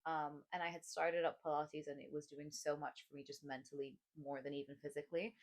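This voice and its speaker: A young woman with a low voice and a neutral, American-sounding international-school accent. She co-hosts a casual podcast and talks in long, rambling runs full of fillers and restarts. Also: level tone, fairly quick. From Middle East. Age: 20s. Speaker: podcast co-host. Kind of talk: casual chat.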